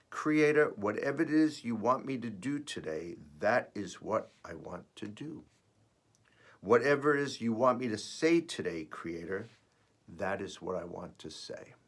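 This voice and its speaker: Raspy man, low gravelly voice, rough from feeling unwell, devotional host, earnest and reflective. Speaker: host of a weekly spiritual message. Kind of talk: devotional reading and personal reflection.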